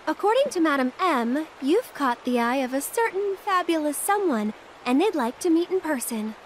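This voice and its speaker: A woman's voice: sits high, big pitch swings, moderate pace, slight background noise, poor audio. An actress playing a playful, self-assured young woman with a casual, teasing manner in a video game cutscene. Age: young adult.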